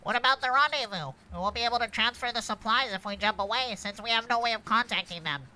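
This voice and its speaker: A woman's voice: on the high side, quick pace, sharp tone, poor audio. A woman reading the part of a procedural starship crew member calling out alerts in a sci-fi script. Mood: concerned. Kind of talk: script table read.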